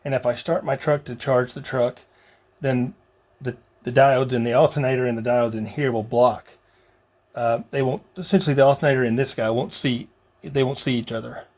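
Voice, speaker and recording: A man narrating a hands-on DIY job casually, halting and muttering to himself as he works. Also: medium pitch, fairly even intonation, a little mellow. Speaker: vlogger building a radio kit.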